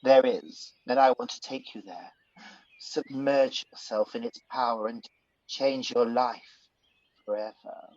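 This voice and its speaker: An actor playing a scheming, smooth-talking villain who poses as a soothing conscience in a radio pantomime. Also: male voice, medium pitch, unhurried, darkish tone, no room sound, muffled recording, close to an everyday voice. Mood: persuasive, urging. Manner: smooth-talking, persuasive, insinuating.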